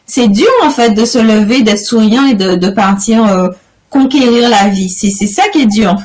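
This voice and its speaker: A woman's voice: medium pitch, moderate pace, a little mellow. A woman with a Guadeloupean accent, a coach speaking as an interview guest, thoughtful and hesitant with frequent restarts. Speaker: business and finance coach. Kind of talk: interview guest, casual talk.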